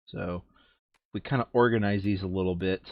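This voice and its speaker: A man's voice: deep voice, steady tone, normal speed, dry recording, dull audio. A man chatting casually as he hosts a board game, thinking aloud and often correcting himself as he goes. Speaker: board game player and video host. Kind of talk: casual chat while sorting game cards.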